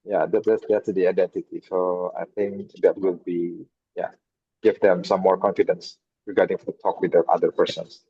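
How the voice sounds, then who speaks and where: A man with an Indonesian accent hosting a webinar, speaking halting English. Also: low-pitched, level tone, husky, no echo. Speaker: webinar host. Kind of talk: moderating a webinar. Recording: dull, lo-fi dry sound.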